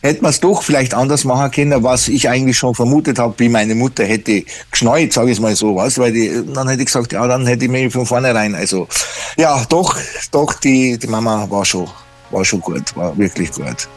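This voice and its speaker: A man's voice: medium pitch, moderate pace, faint music behind. Middle-aged man, phone caller, hesitant casual talk, mid-range voice. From Germany. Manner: hesitant, many fillers and restarts. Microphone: phone line.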